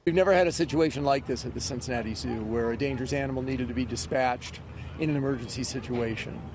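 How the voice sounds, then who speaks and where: A man giving a measured press statement as a spokesman, recorded outdoors. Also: medium pitch. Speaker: zoo spokesman. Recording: noisy outdoor setting with traffic.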